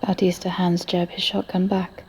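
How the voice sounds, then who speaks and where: A woman's voice: fairly low pitch, monotone, normal speed, darkish tone, husky. A woman reading scripted story narration for an audio drama.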